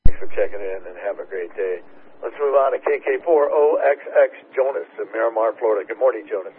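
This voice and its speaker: A hoarse man acting as net controller, briskly thanking callers and calling the next station. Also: lowish voice. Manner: brisk, routine call-and-thank pattern. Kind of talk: running a radio check-in net. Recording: narrow radio transmission with hiss.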